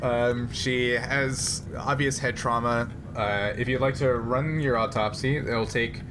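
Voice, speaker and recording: A man casually narrating a tabletop game as game master, in a halting, hesitant manner. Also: medium pitch, fairly even intonation, background noise.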